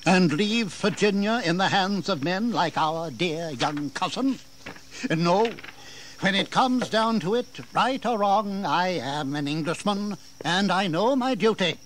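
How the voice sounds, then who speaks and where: High-voiced, indignant colonial governor. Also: male voice, expressive, measured pace, fairly bright tone. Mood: weary resolve. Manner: declamatory, sarcastic, aristocratic. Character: sardonic, indignant English colonial governor, proud and dutiful. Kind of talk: historical audio drama acting. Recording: faintly noisy 1980s drama recording.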